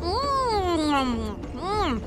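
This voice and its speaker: A woman's voice: very high voice, sing-song, extremely slow, over loud music, full character voice. A woman voicing a blunt, greedy little furry horned boy in a fantasy audio drama, with a childish, tattling delivery. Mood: smug.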